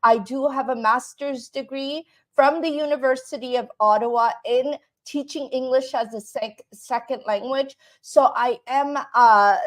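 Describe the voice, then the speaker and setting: Woman from Canada, high voice, unhurried delivery; teacher leading a live online class. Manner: repeats "right?" to check in with viewers. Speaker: English teacher.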